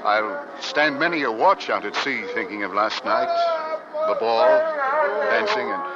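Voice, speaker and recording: An actor playing an earnest first mate who narrates an 1850s Arctic voyage, in old-time radio drama style. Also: male voice, lowish voice, big pitch swings, a little slow, heavy background noise. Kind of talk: 1940s-50s radio drama acting and narration. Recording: muffled old radio recording under loud music.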